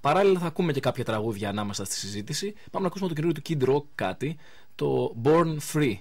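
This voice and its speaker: A man hosting a live radio interview in speech, chatting informally with pauses as he goes. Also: a little high, expressive, a little mellow, no room sound, studio quality. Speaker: radio show host.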